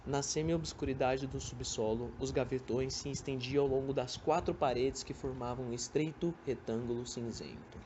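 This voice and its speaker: A young Brazilian man narrates a literary radio drama from a script. His voice is medium-pitched, with some faint noise in the amateur recording. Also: steady tone, normal speed, few pauses, dark tone, muffled recording. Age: young adult.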